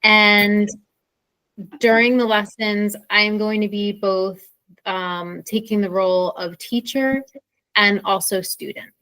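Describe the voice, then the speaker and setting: American lady teaching english, talks slow and casual, really dry sound no room echo. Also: medium pitch, steady tone, pushed and bright. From Pennsylvania, USA. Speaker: teacher, co-host of English lessons. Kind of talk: informal English lesson, conversational teaching.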